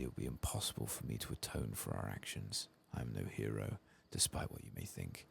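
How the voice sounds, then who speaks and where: A man voicing a weary, grieving old elf in a fantasy game, soft-spoken, solemn and formal. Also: extremely low pitch, gravelly, slight background noise, exaggerated voice. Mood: weary shame. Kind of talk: soft-spoken game dialogue read-aloud.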